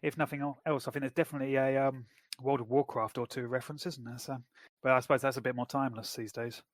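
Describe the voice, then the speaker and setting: A man co-hosting a podcast, chatting casually and explaining plots in a measured way. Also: mid-range voice, steady tone, no room sound. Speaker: podcast co-host. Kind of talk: casual chat.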